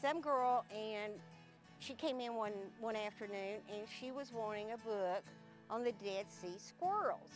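A woman's voice: on the high side, lively intonation, measured pace, over music. A woman with a Southern accent, a librarian telling funny stories in a casual interview, laughing often. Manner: chatty, laughs often while telling anecdotes.

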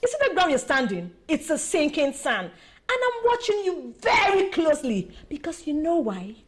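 An actress playing an excitable, indignant young woman in a film drama, emphatic and theatrical, repeating herself for effect. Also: high voice, moderate pace. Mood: indignant, scornful.

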